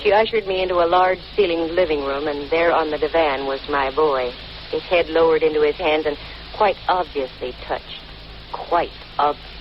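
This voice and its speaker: A woman's voice: low-pitched, normal speed, lightly acted. An actress playing a tough, wisecracking woman private detective in a 1940s radio drama, with a wry, slangy delivery. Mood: wry narration. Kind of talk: 1940s radio detective drama acting, hard-boiled narration. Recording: muffled, noisy old radio broadcast recording.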